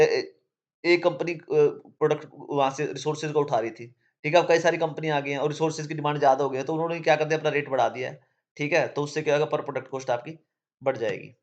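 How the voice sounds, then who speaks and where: A man's voice: fairly high pitch, lo-fi sound. A male teacher with a sore throat, explaining economics to students in a casual way, often checking in with "theek hai". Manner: casual, repeats "theek hai" between points.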